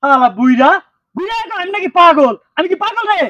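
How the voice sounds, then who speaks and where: A woman's voice: very high voice, animated, a little fast, fairly bright tone, no echo, lo-fi sound, soft background music. Woman doing a brash rustic young loafer. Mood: giggly. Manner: coarse rustic dialect, taunting then giggly. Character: grinning idle young man, brash and rustic.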